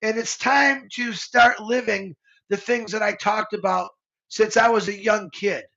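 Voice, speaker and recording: A man's voice: high-pitched, steady tone, a little slow, bright, forceful tone, lo-fi sound. A man in his fifties, co-hosting a live stream, talking in a heartfelt, rambling way with lots of restarts. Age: 50s. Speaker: co-host of a live show. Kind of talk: casual live-stream talk.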